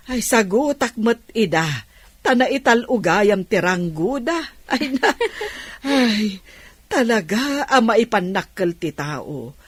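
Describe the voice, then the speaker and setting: A woman playing an emotional older village aunt in an Ilocano radio drama, husky, with heartfelt sighing exclamations. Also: a little high, lively intonation, slow pace, no echo, exaggerated voice. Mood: touched, delighted.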